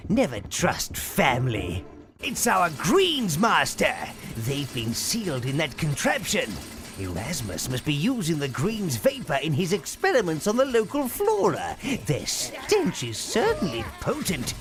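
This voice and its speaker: An actor playing a sly old minion advisor to a dark lord in a video game, servile, scheming and theatrical. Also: male voice, fairly high pitch, wildly swooping pitch, unhurried. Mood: dry, sly. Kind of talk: scripted video game character acting. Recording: music behind the voice.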